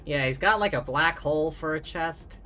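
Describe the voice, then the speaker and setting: A woman's voice: low voice, moderate pace. A woman giving casual, slangy live commentary as a game streamer. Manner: informal, slangy. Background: loud game music.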